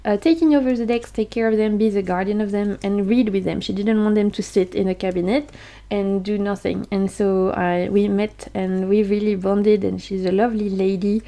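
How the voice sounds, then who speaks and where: Mellow-voiced chatty woman. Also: medium pitch, fairly even intonation, moderate pace. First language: French. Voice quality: chatty, enthusiastic, laughs often. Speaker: tarot deck collector. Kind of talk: casual vlog chat showing decks.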